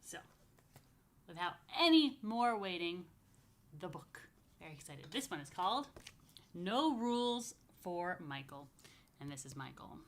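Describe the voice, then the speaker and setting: A woman's voice: fairly low pitch, sing-song, unhurried, long pauses, slight background noise. A woman rabbi doing a read-aloud storytime for young children, conversational, with explanatory asides.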